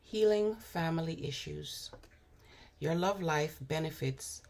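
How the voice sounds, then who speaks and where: Hoarse lady doing a tarot reading, low gravelly voice, slow and thinking out loud. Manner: unhurried, musing, frequent fillers. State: hoarse with a cold. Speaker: tarot reader. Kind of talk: spiritual tarot reading to camera.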